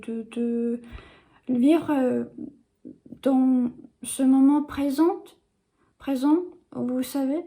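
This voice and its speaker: A woman's voice: fairly high pitch, warm tone. A young woman who is not a native French speaker, speaking in a casual video diary, hesitant, with many fillers and restarts. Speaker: vlogger.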